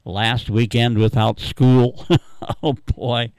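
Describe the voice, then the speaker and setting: A man hosts a radio call-in show, chatting and reminiscing in a halting, rambling, easygoing way. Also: medium pitch, steady tone, a little mellow, smooth, dry recording. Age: 80s. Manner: rambling, halting, chuckling storyteller. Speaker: radio talk host taking callers. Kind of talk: casual, unscripted reminiscing and chat.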